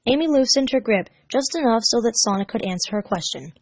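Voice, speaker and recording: Female storyteller for scripted fiction narration, voice sitting fairly high. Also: animated, brisk, no room sound. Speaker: fan fiction reader and narrator.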